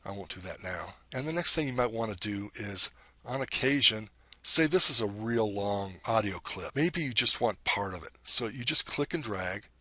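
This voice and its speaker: A man with a deep voice giving a screen-recorded software tutorial, explaining each step plainly and now and then correcting himself. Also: slightly rough, no echo.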